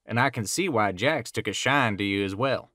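Low-voiced plainspoken country man played by an actor. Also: moderate pace, bright, forceful tone, no echo, studio quality. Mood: approving. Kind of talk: audio drama acting.

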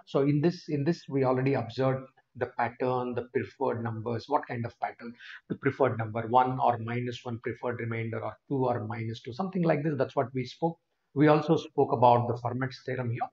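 A man's voice: medium pitch, darkish tone. A man in his thirties with an Indian English accent, teaching a live online class from a small room and chatting to viewers by name. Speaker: test prep teacher. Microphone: webcam corner of screen share. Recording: slightly dull lo-fi stream audio.

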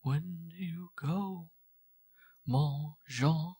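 A man voicing an anguished French mother who pleads in slow, breathy, strained whispers. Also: fairly high pitch, dark tone, no echo, studio quality, voice pushed up, exaggerated voice. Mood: anxious.

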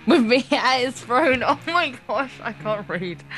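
A woman's voice: high voice, animated, takes it slowly, a bit bright, faint music behind. Playful woman co-hosting a game playthrough. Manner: playful, sweary, stumbles when reading. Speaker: let's play co-host. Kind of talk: reading visual novel text aloud with casual banter.

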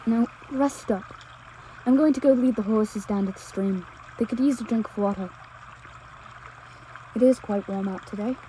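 A woman playing a devoted, anxious young pastor's daughter on the run in an intimate audio drama, speaking tenderly and emotively with halting pauses. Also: mid-range voice, warm tone, slight background noise, close to an everyday voice, low-quality recording. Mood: reassuring. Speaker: audio roleplay performer. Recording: nature ambience with trickling stream water. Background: outdoor ambience sound effects, running water.

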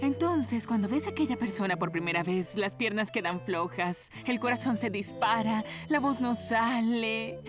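Surly shop clerk played by a woman, slightly high voice, romantic streak, cartoon, clear music behind. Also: animated, moderate pace, hardly any pauses, natural voice. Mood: dreamy, romantic. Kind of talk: cartoon voice acting.

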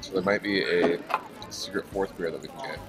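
A man's voice: sits low, steady tone, a little fast. A man in his thirties chatting casually to a camera as a travel vlogger. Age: 30s. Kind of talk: casual chat. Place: busy brewery tasting hall with large windows. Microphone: camera at arm's length or on the table. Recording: loud crowd chatter and music behind.

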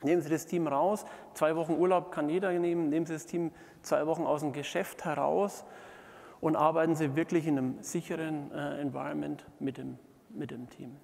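A middle-aged man delivers a conference keynote in a fairly high voice with plenty of vocal fry. He pauses often and feels his way through phrases. The sound carries the long reverb of a large hall. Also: steady tone, darkish tone. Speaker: keynote speaker, management consultant. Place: large indoor conference hall, on stage before seated audience.

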